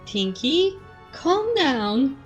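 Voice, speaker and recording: A woman's voice: high voice, sing-song, very slow, soft background music, pitched above the performer's own voice, heavily acted. A woman voicing a refined, dramatic, fretful female unicorn in a prim, theatrical manner. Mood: nervous.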